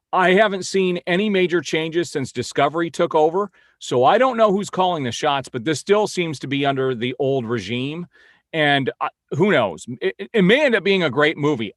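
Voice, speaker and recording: A man's voice: on the high side, no room sound. Man giving blunt, dry-miked commentary. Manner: blunt, opinionated. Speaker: host of a comic-book film news vlog. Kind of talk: informal news update and opinion commentary.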